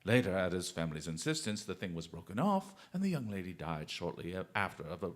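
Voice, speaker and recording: An actor playing a brilliant, playfully self-assured English gentleman detective in a radio drama, speaking in eloquent, exclamatory flourishes. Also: low voice, very animated, fast. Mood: expository.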